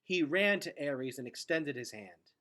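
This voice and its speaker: A man narrates a story as a dramatic read-aloud. His voice is bright and forceful, with animated pitch and emphatic, expressive phrasing. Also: a little slow. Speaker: story reader.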